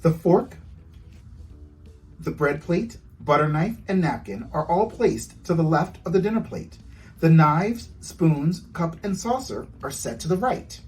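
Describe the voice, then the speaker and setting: A man reading his children's storybook aloud with expressive, storytelling delivery. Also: fairly high pitch, measured pace, far from the mic, faint music behind, low-quality recording. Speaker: author reading own book aloud.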